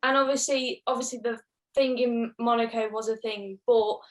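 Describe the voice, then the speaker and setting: A woman's voice: on the high side, steady tone, normal speed. A woman chatting casually as a co-host of a motorsport podcast.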